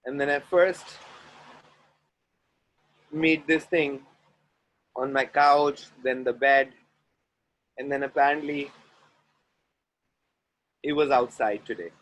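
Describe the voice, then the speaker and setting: Slow, chanting man with an Indian accent. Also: medium pitch, a bit bright, rough recording. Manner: slow, deliberate, chant-like repetition. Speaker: workshop facilitator hosting an online call. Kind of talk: guided group facilitation with repeated clearing phrases. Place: outdoors near the ocean.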